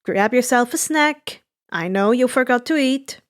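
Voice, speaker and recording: Female storyteller for fan fiction narration: high voice, slow, measured delivery. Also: animated.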